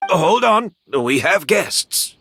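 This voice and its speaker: A man's voice: sits high, sing-song, takes it slowly, bright, forceful tone, slight background noise, full character voice. A man playing a genial, humble older master perfumer and mentor in a video game. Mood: welcoming. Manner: genial, self-deprecating.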